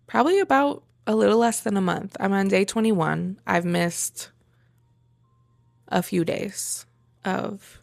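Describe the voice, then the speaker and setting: A woman giving a reflective personal monologue in halting phrases, with pauses and emphatic repetition. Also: mid-range voice, vocal fry, no room sound, studio quality. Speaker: vlogger sharing a personal journey.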